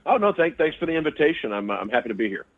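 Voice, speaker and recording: A man's voice: fairly high pitch, big pitch swings, rapid-fire. A man calling in by phone as a guest on a sports show, thoughtful and repeating words as he works through his points. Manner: thoughtful, stammering restarts. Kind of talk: casual sports analysis. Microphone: telephone. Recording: tinny phone line.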